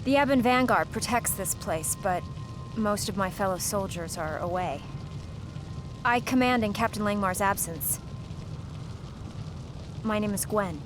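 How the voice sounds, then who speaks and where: A woman's voice: mid-range voice, expressive, measured pace, long pauses, lightly acted. A woman playing a guarded, firm soldier in command in a video game cutscene, speaking tersely. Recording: loud music behind voice.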